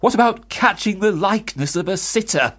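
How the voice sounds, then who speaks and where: Stern older father for period radio drama: husky, sarcastic, swooping emphatically between phrases. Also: sits high, animated, a little fast, a bit bright. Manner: formal, biting, rhetorical. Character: stern, sarcastic parson father scolding his failed adult son.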